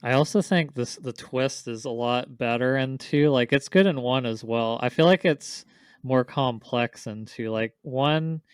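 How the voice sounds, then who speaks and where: A man's voice: medium pitch, a little slow, clean tone, no echo, studio quality. A man co-hosting a casual group discussion. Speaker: podcast co-host.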